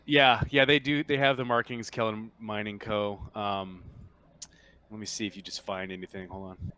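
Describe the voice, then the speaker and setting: Male game master, low register, forward bright tone, halting off-the-cuff narration. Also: flat delivery, a little hiss or hum. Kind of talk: casual narration of a tabletop roleplaying game.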